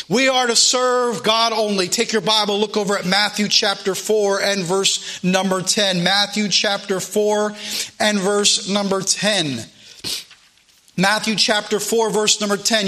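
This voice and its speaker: High-pitched, forceful man preaching. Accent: American. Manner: emphatic, exhorting, repeats phrases for emphasis. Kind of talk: fervent sermon with scripture readings.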